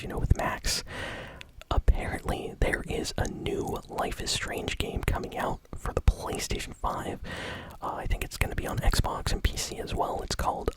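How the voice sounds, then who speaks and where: A host whispering gaming news in ASMR style, in a soft, unvoiced whisper with informal, hesitant, enthusiastic phrasing. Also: low-quality recording.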